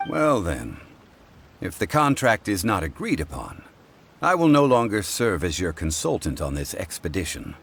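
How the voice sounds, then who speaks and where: Very low, unhurried scholar-consultant, game dialogue, lively contour, light score behind. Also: male voice, creaky, slightly rough, exaggerated voice. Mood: steady, measured. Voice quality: measured, formal and deliberate. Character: calm ancient male consultant, scholarly, composed. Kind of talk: video game voice acting. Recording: game score playing faintly.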